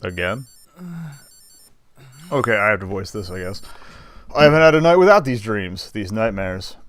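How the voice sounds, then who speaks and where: A man's voice: lowish voice, expressive, moderate pace. A man streaming a game, casually commenting and reading the on-screen text aloud. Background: faint game music.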